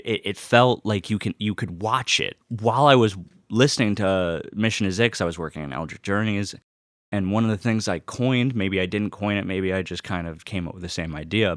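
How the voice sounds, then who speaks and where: A man's voice: fairly low pitch, no room sound, clean studio sound. A man hosting a personal vlog in casual, unscripted talk, rambling and often cutting himself off. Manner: rambling, self-interrupting. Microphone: close personal microphone.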